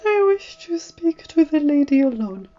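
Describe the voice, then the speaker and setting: A woman voicing a kindly, wise, very elderly male maester in a fantasy story, speaking in a courtly, measured way. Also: sits high, very animated, warm tone. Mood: amused, gentle. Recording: muffled audio with music.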